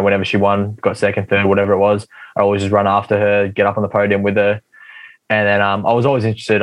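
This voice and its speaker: Low-voiced man, dry sound. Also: no echo, low-quality recording. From Australia. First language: Russian. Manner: rambling, filler-heavy, easygoing. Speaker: guest athlete. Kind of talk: casual podcast chat.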